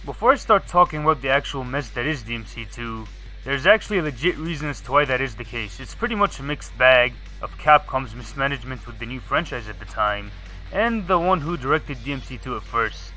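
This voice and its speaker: A man's voice: mid-range voice, expressive, brisk, sharp tone, soft background music. A man narrating a scripted video game review in a sardonic, casual way.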